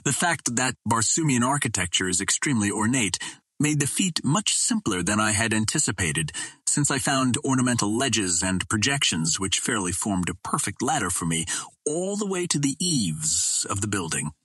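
A man narrates an adventure story in a low, rough-edged husky voice. His delivery is measured and dramatic, like an audiobook, and the recording is close and dry. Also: very animated, pushed and bright, no echo. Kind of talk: scripted narration of an adventure novel.

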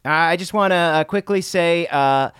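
A man's voice: fairly high pitch, measured pace, a bit bright, clear, resonant tone, no echo. Earnest teen boy, played by a man, close-sounding. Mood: earnest, solemn. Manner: earnest, mock-solemn. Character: Beverly, earnest, wholesome teenage boy adventurer. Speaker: podcast co-host. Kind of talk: improvised comedy banter.

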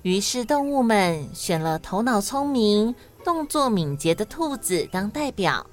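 A woman's voice: mid-range voice, sing-song, measured pace, soft background music. A woman with a Taiwanese accent hosting and narrating stories expressively for children. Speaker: host and storyteller of a children's podcast.

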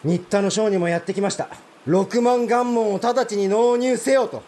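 A man's voice: sits high, moderate pace, dark tone, lightly acted. A man playing a proud, blunt provincial samurai lord in a Japanese historical audio drama, speaking formal warrior speech. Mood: indignant.

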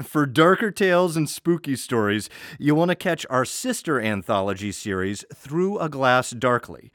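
A man's voice: mid-range voice, dry recording, studio quality. An American man introducing an audio story series as host, in a wry, conversational scripted style.

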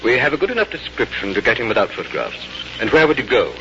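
Radio drama part: confident bank director, quick-fire and firm, dull noisy broadcast. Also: male voice, mid-range voice, sing-song. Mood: confident, insistent. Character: self-assured English bank director at his club. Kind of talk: 1950s radio acting.

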